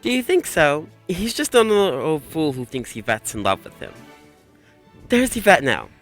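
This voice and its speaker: A man acts a young aristocrat in a radio play, jealous and quick to temper. His voice is bright and tense with big swings in pitch, blunt and irritable over faint music. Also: big pitch swings. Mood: irritated.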